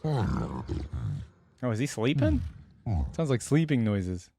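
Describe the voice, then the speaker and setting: A man's voice: lowish voice, wildly swooping pitch, slow pace, creaky, mellow, breathy edge. A man giving casual reaction commentary as a video host, with laid-back, amused asides.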